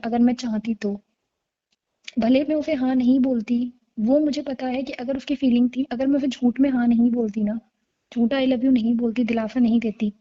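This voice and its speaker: A woman's voice: fairly high pitch, warm tone, natural voice. A woman playing a remorseful young woman who earnestly pleads her love in an intimate phone-call drama. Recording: dull lo-fi call recording.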